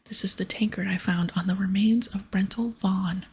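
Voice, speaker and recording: A woman voicing an earnest, polite fantasy-game adventurer in a breathy whisper. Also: medium pitch, steady tone, moderate pace, mellow, slight background noise, lightly acted. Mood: persuading. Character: earnest adventurer investigating a crime.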